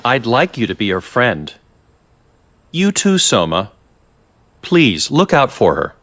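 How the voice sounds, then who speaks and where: An actor playing a calm, scheming research director in a video game, with measured, condescending politeness and slyly menacing manner. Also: male voice, mid-range voice, full character voice. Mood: sly, composed. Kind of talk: English video game voice acting.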